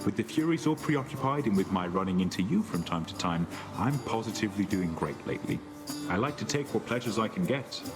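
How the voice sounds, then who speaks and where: An actor plays a cheerful condemned king who stays upbeat, talking in an easygoing, friendly way. His voice sits fairly low, with game music playing under it. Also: animated, fairly quick, natural voice.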